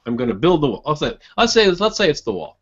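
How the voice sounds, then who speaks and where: A man's voice: fairly high pitch, big pitch swings, fast talker. A man in a casual group political chat, rambling, repeating phrases and acting out imagined dialogue. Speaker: podcast panelist. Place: group internet voice call. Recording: dull lo-fi online voice call.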